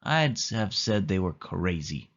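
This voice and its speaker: A man's voice: sits low, big pitch swings, unhurried, fairly bright tone, dull audio, voice pushed down, heavily acted. A man voicing a grumpy, impatient and outspoken little girl in a children's storybook. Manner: grumbling, hollering.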